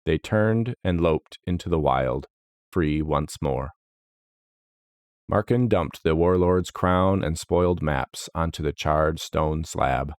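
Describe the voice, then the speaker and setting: A man narrating a scripted fantasy story in a solemn, dramatic, measured manner. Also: rumbling low, a little mellow, no room sound, clean studio sound.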